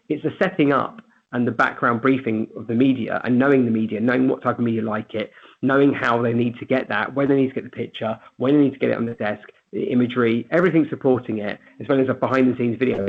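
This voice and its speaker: A man giving a webinar presentation in a brisk way, with some restarts. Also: mid-range voice, vocal fry. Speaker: webinar speaker. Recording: tinny phone-line audio.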